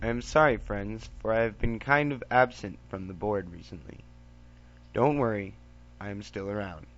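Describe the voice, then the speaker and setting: A man's voice: lowish voice, moderate pace, background noise. A man hosting a podcast, reading a reflective scripted monologue in a solemn, formal way, on a muffled amateur recording. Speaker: podcast host.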